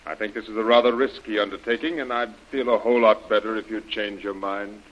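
A man's voice: on the low side, steady tone, a little fast, highs cut off, lightly acted. A man playing the hero's worried, cautious friend in an old-time radio serial, earnest in tone, on a hissy vintage recording. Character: worried, cautious male yacht-owning friend of hero.

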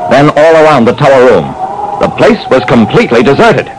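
A man's voice: medium pitch, very animated, fairly quick, lightly acted. An actor playing a male investigator who narrates his own adventure in a theatrical, clipped classic radio style. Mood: suspenseful. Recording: noisy old radio broadcast.

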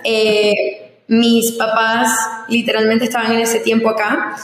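A young woman speaks as a podcast guest, earnest and unhurried. Her voice sits a little high. She sounds far from the microphone, in a boomy room whose reverb rings on after each phrase. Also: completely flat delivery. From Venezuela (Zulia). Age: 20s. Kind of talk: casual interview chat. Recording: echoey room with long reverb.

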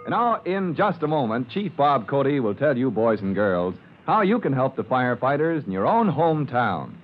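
A man's voice: medium pitch, sing-song, normal speed, a little hiss or hum. A man narrating a scripted serial as an announcer in an old-fashioned radio style. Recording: thin, narrow vintage radio recording.

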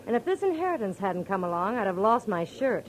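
A woman's voice: mid-range voice, big pitch swings, a little fast, a little hiss or hum, close to an everyday voice, poor audio. An actress playing a feisty, blunt young woman with slangy wisecracks in 1940s radio drama. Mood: wry, candid. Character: brash, tough-talking young blonde bar owner, feisty.

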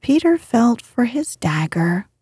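Breathy woman softly reading a bedtime story. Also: on the high side, very animated, slow pace, no echo, clean studio sound. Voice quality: breathy, hushed. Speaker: podcast host and narrator.

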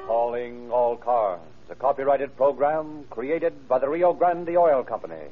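Male 1930s radio announcer, emphatic polished delivery, mid pitch, thin tinny recording, music behind. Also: measured pace. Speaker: announcer and narrator. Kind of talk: 1930s radio announcing, scripted sales and narration.

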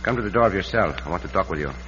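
An actor playing a calm, gallant Mexican adventurer in a radio western, with a courteous, commanding, deliberate delivery and a Mexican accent. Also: male voice, low voice. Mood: calm, confident. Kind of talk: 1950s radio western acting. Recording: muffled, noisy, reverberant old radio recording.

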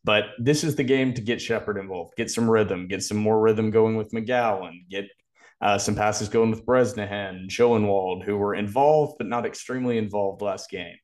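A man with a fairly low voice talks football as a podcast co-host. He explains things in a measured, detailed way, in a casual analytical style. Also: a little mellow.

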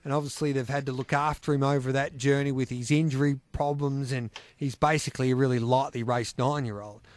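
A man's voice: mid-range voice, fairly even intonation, brisk. An Australian man hosting a harness racing podcast, chatty and teasing, putting questions to his co-hosts. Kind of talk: casual racing chat.

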